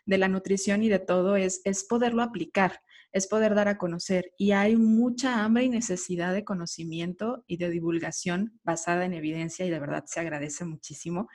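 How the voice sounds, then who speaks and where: A woman hosting an interview, speaking in a measured, appreciative way. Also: on the low side, fairly even intonation, darkish tone. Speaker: podcast host.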